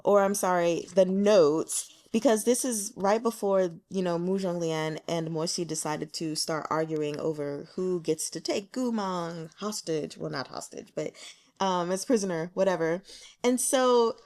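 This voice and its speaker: A woman hosting a book vlog, giving casual, chatty commentary from her notes in an animated, slangy way with giggly asides. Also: fairly low pitch, measured pace.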